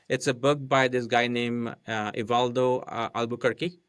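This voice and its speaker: Non-native english guy, podcast guest, talks it through step by step, average pitch, dry mic. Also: steady tone, normal speed, a bit bright, smooth, no echo. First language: not English. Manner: explains step by step, with frequent "right?" and fillers. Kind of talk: casual explanatory chat.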